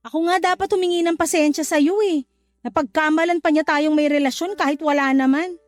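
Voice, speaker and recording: A woman's voice: high voice, steady tone, a little fast, close to an everyday voice. An actress playing a feisty, exasperated young single mother in a radio drama, sassy and outspoken. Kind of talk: Tagalog radio drama acting.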